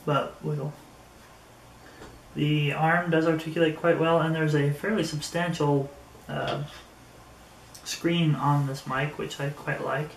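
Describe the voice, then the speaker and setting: A man giving a casual, unscripted product demonstration, thinking aloud and haltingly as he handles things. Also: fairly high pitch, little pitch movement, gravelly. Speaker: product reviewer. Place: indoor room. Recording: echoey room, faint background noise.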